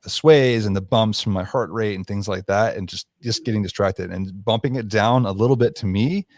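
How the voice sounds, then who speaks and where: Low-voiced joking male guest. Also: moderate pace, darkish tone. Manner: joking, easygoing. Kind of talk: casual chat.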